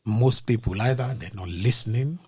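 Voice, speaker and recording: A man who is a podcast guest, explaining ideas in a deliberate way and using everyday comparisons. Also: mid-range voice, breathy edge.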